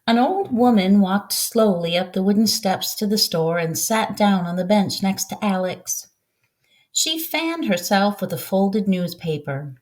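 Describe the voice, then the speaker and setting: Unhurried woman reading a children's story. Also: mid-range voice, a little mellow. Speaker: volunteer storybook reader. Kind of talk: expressive children's story narration.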